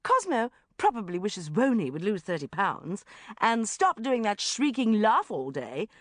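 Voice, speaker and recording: A woman's voice: medium pitch, sing-song, unhurried, no echo, clean studio sound, voice pushed up. A woman voicing a combative, sarcastic, outspoken female friend who growls indignantly, as an audiobook character. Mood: scornful.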